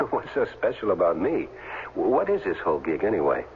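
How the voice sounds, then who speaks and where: A man's voice: sits low, sing-song, moderate pace, slight background noise. A man acting in a 1970s radio drama as a wry, colloquial former football player down on his luck. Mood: guarded, bantering. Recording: dull old radio recording, music underneath.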